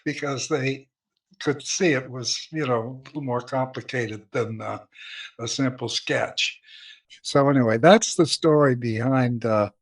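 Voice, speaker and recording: A man giving a casual introduction as a comedy writer, in a halting, unhurried manner. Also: medium pitch, no room sound. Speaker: comedy scriptwriter.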